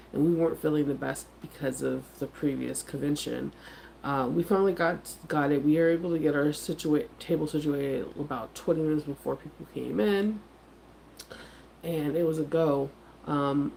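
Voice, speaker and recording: A woman's voice: sits low, gravelly, a little mellow, slight background noise, low-quality recording. A middle-aged woman with a head cold, sounding congested as she chats casually to her viewers in a rambling, filler-heavy way. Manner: rambling, chatty, many fillers. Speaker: vlogger and craft vendor. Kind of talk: casual morning chat to viewers.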